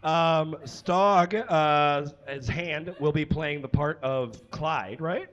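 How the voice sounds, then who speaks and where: A man hosting a live comedy show, casually introducing the next piece in a halting, self-deprecating, joking way. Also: on the high side, a bit bright. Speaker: show host. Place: small indoor comedy stage. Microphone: stage microphone on stand. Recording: muffled live stage microphone.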